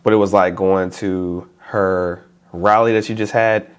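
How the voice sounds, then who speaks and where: A man with a stuffy nose, talking casually to camera as a vlogger in a relaxed, chatty, informal way. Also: low voice, measured pace, clean tone.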